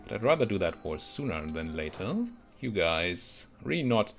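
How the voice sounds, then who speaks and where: A man's voice: low voice, very animated, normal speed, vocal fry, faint music behind. A man giving casual let's play commentary on a strategy game, thinking aloud and muttering to himself as he goes. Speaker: video game streamer playing a strategy game.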